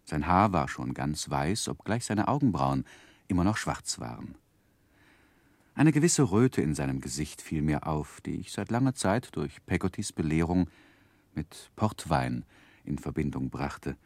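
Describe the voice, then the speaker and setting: An actor playing an earnest, reflective gentleman who recounts his boyhood as narrator of a 1960s German radio drama, with careful, tender phrasing. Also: rumbling low, sing-song, measured pace, dry recording, full character voice.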